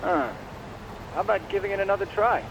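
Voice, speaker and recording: Nerdy game sidekick, raised pitch, keen, pleased with himself, clearly noisy surroundings. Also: male voice, brisk, highs cut off. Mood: smug, eager. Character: eager, geeky male tech-savvy informant. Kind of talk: English video game dub.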